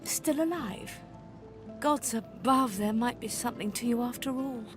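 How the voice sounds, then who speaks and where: An actress playing a world-weary, sharp-tongued sorceress mentor in a fantasy video game, with a sardonic, impatient and dramatic manner. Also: female voice, a little high, unhurried, natural voice. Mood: impatient, curious. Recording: music behind the voice. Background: music.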